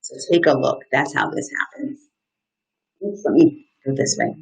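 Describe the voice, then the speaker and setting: A woman in her thirties reading aloud to children as an educator, pausing to ask questions and explain in simple, encouraging terms. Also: sits low, expressive, husky, poor audio. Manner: simple, interactive, encouraging teacher talk. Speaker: outdoor educator hosting a children's story time. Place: small indoor room. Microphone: webcam at desk distance, live video stream.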